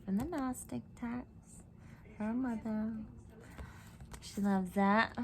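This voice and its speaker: A woman giving a spiritual tarot reading at home into her camera. She talks in a croaky, meandering, reflective way, full of fillers, and often drops into whispers. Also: fairly high pitch, steady tone, slow, a little hiss or hum. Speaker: tarot reader. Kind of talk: spiritual card reading monologue. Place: home room. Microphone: camera mic.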